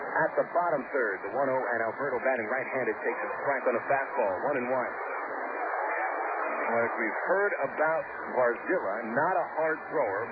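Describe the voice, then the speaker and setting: Man calling baseball on noisy narrow-band radio. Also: mid-range voice. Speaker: baseball play-by-play announcer. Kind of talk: live radio game commentary. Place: ballpark broadcast position.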